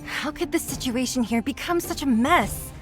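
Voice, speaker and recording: A woman voice acting a brisk, blunt young fighter in a video game, curt and no-nonsense. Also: high voice, faint music behind, natural voice. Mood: concerned, surprised.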